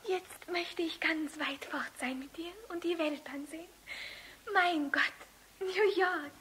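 An actress playing a dreamy, adoring young woman in love in a German radio play, high and breathy, often close to a whisper. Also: takes it slowly, fairly bright tone, heavily acted. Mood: dreamy, rapturous. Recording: hissy old radio recording.

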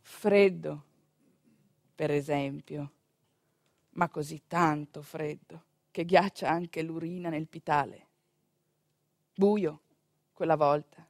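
A woman with a northeastern Italian accent telling a theatrical monologue in an expressive, conversational manner. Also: sits low, slow, creaky, pauses often, no echo. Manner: expressive, conversational, with rhetorical questions and asides. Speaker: stage actor and storyteller.

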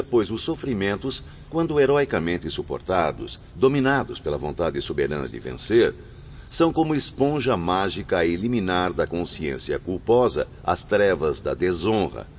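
Man acting a very low, grave spirit student. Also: very animated, normal speed, darkish tone. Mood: grave, earnest. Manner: grave, formal, declamatory. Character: grave, courteous male spirit classmate reciting lessons. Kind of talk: radio drama recitation. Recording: dull, noisy lo-fi audio.

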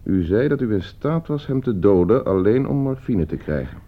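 An actor playing a calm, calculating schoolteacher in a radio play, speaking with polite, insinuating control. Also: male voice, lowish voice, moderate pace. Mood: calm, menacing. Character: calm, calculating male schoolteacher, polite but menacing manipulator. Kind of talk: Dutch radio play acting. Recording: muffled old radio play recording.

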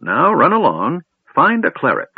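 A man's voice: on the high side, huge pitch swings, moderate pace, gravelly, husky, dry recording, pitched above the performer's own voice, exaggerated voice. A man voicing a cranky, absent-minded old male wizard in a storybook reading, snapping and fussing. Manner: snappish, loud, fussy. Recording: dry tinny phone-like audio.

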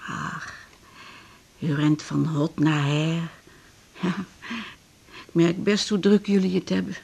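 A woman's voice: deep voice, slow pace, gravelly, darkish tone, slightly rough, full character voice. An actress playing a lonely, fretful female hospital patient in a radio drama, plaintive, hesitant and chatty. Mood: fretful, complaining. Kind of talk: 1980s Dutch radio drama acting.

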